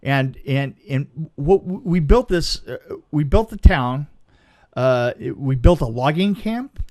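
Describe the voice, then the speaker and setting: A man's voice: on the high side, animated, slow pace, a little mellow. A man telling a casual anecdote as a film crew guest, stammering and repeating words as he goes.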